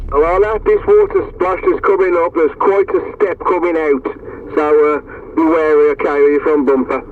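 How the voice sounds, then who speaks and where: A man's voice: on the high side, fairly even intonation, normal speed. A man chatting casually over a CB radio, as one driver to another. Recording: distant tinny CB radio, some noise.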